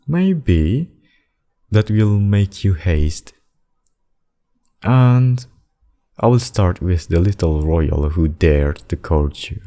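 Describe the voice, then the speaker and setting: A man voicing a possessive demon king and lover in a scripted ASMR roleplay, speaking deliberately and intimately. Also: a bass voice, flat delivery, warm tone. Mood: menacing, possessive. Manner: slow, deliberate, intimate addresses with pet names. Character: possessive demon king, menacing abductor turned tender secret lover.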